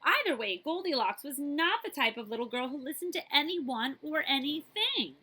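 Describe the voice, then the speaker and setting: A woman librarian reading a picture book aloud to children expressively, with chatty asides and questions to her listeners. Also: high-pitched. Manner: animated, chatty, pausing to ask listeners questions.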